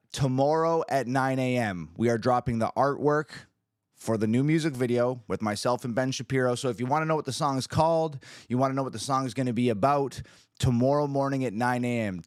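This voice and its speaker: A man hosting his own livestream, a musician chatting casually with viewers, upbeat and hyped, his voice a little raspy from screaming the night before. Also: mid-range voice, no room sound, clean studio sound. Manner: upbeat, hyped, talks to the chat.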